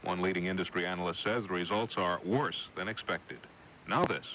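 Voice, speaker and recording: A man's voice: sits low, brisk. An American man anchoring a radio newscast, reading scripted news stories. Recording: noisy 1980s AM radio broadcast.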